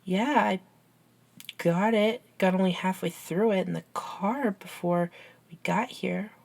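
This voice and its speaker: A woman playing a caring, curious, science-minded young best friend in an ASMR roleplay, with an earnest, halting, gently teasing manner. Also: medium pitch, creaky. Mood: puzzled. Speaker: amateur audio roleplay voice actor.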